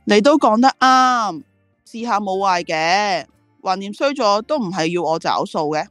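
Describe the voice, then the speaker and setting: A woman's voice: mid-range voice, lively intonation, measured pace, fairly bright tone, dry recording, soft background music. A driven woman who runs an ad agency in a radio drama. She speaks with a Hong Kong Cantonese accent and very varied intonation, by turns proud and reflective. The recording is dry. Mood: defensive. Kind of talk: radio drama dialogue and monologue.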